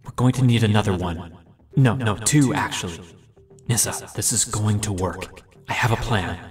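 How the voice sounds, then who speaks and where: A man's voice: on the low side, normal speed, recorded from a distance, soft background music, lightly acted. A man playing an analytical, confident mage who rambles through clinical explanations in a fantasy audio drama. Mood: calculating, eager. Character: analytical, confident young male scheming mind mage.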